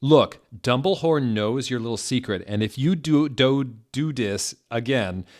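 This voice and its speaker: A man's voice: mid-range voice, measured pace, voice pushed up, close to an everyday voice. A man voicing an angry, protective teenage goth wizard boy with an indignant, confrontational manner. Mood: indignant, threatening.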